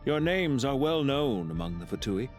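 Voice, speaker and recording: Suave villain voiced by a man, lively intonation. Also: medium pitch, faint music behind, natural voice. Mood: smooth, amused. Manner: polite, formal, measured, theatrical. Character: suave, calm male villain, a courteous scheming enemy officer. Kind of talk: scripted video game voice acting.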